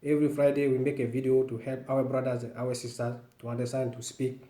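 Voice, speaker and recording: An Ivorian-accented man, native in Woloduka, hosts a language lesson in a soft, dark-toned voice. He explains deliberately and repeats his key points. The room around him adds clear echo. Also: mid-range voice, level tone. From northwestern Ivory Coast. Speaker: language teacher, video host. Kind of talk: informal language lesson to camera. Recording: roomy, echoing room sound.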